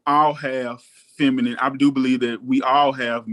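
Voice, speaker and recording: A man in his thirties talks in a relaxed, thoughtful way on a casual podcast. His pitch sits in an ordinary middle range. He often pauses, filling the gaps with soft ums. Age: 30s. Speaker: guest co-host.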